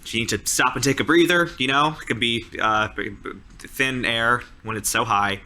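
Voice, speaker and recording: A man's voice: medium pitch, normal speed, pushed and bright. A man voicing a nervous teenage camp counselor who stammers and hesitates while trying to sound chipper. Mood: flustered, covering up.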